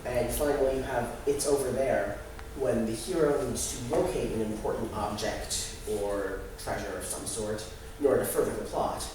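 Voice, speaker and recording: A young adult man giving a halting, explanatory student presentation live in a room. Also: mid-range voice. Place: echoey indoor room. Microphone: distant microphone. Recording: very echoey room, distant mic, noisy.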